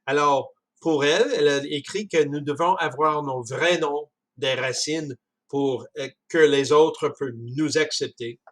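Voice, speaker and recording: A man with a Canadian French accent talking casually about a book, halting often with restarts and chuckles. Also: on the high side. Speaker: speaker discussing a book. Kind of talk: casual spoken commentary.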